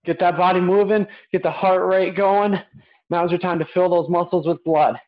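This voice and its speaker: A young man with a notably high voice coaches exercise while doing it himself. He gives short, repeated cues with steady encouragement. The sound is slightly dull and lo-fi, like a webcam in a small home gym. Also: moderate pace. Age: young adult. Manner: physically active while talking. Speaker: fitness coach. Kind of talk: instructional workout coaching with counted cues.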